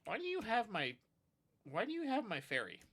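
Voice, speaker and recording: A man's voice: high-pitched, huge pitch swings, normal speed, a bit bright, a little hiss or hum. A man commenting casually on his game playthrough, sounding sinusy from allergies, rambling and swearing mildly. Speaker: gaming video host. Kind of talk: casual let's-play commentary.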